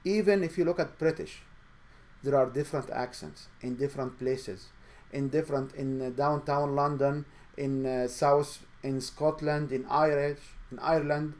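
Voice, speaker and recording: A man's voice: medium pitch, steady tone, moderate pace, darkish tone. A man hosting his own show, giving an earnest coaching talk in English with a noticeable accent and some non-native grammar. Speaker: show host and speaking coach. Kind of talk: motivational monologue.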